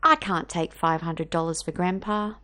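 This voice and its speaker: A woman's voice: fairly low pitch, steady tone, normal speed, sharp tone, pitched below the performer's own voice. A woman voicing a polite but firm young girl in a children's storybook, earnest and resolute. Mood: polite, uneasy.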